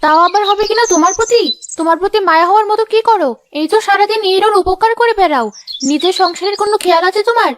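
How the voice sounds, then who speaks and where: A woman voicing a sharp-tongued, nagging young village wife in a cartoon. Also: very high-pitched, very fast, a little hiss or hum. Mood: scolding, annoyed. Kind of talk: cartoon voice acting.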